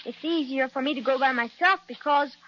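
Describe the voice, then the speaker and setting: A woman's voice: high voice, brisk, close to an everyday voice. A woman playing a polite, cautious, sensible little girl in an old-time children's radio serial. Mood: matter-of-fact. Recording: muffled, lo-fi old radio recording.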